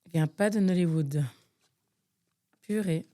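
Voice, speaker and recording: Lowish-voiced woman from Nigeria, exasperated. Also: takes it slowly, mellow. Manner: exasperated, thinks aloud with many fillers. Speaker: podcast co-host. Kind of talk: casual chat, guessing game.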